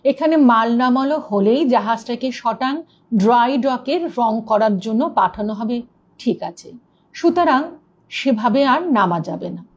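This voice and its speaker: A woman with a fairly high voice narrates a ghost story as a storyteller. Her reading is dramatic and expressive, keeping up the suspense as she goes. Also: measured pace.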